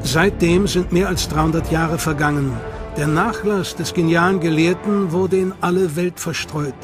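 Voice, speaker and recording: A man giving measured, scripted documentary narration. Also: on the high side, steady tone. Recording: voice-over with loud music behind.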